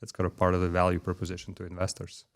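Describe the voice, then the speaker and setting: Man, Ukrainian accent, very low voice, measured pace, pauses with um and uh, business interview. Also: monotone. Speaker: guest, corporate development executive. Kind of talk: conversational interview. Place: corporate headquarters office.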